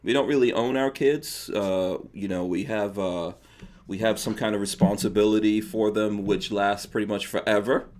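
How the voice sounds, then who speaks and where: A man's voice: fairly low pitch, steady tone, moderate pace, darkish tone. A man with a New York accent hosting a livestreamed podcast, chatting casually and laughing often. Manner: laughs often, loose and joking. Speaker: podcast host.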